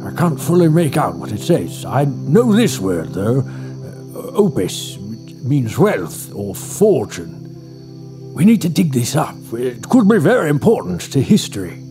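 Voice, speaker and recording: An actor playing an excitable, pompous older scholar in an audio drama, speaking in a theatrical, drawn-out way. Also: male voice, medium pitch, over music. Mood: excited.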